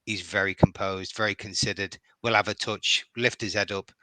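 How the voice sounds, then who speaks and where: Bright-voiced Liverpool man, dry close mic. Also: fairly low pitch, steady tone, normal speed, no echo, low-quality recording. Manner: hesitant, repeats words, warm praise. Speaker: guest fan. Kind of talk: casual podcast chat.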